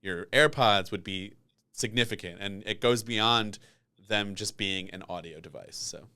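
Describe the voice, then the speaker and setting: A man's voice: fairly low pitch, a little slow, bright, forceful tone, smooth. A man hosting a podcast, chatting in a casual, rambling, conversational interview style. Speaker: podcast host.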